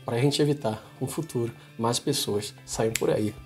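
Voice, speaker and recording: A man's voice: medium pitch, normal speed, soft background music. A Brazilian man in his forties giving an informal, emphatic opinion monologue to camera in colloquial, slangy speech. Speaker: vlogger. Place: small home office, indoors.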